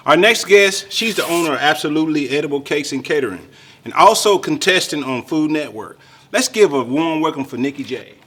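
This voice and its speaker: A man hosting a cooking talk show, chatting casually and exuberantly with his guests, laughing often and bursting into loud hype. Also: a little high, expressive, fairly quick, a little hiss or hum. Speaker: show host. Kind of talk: casual chat.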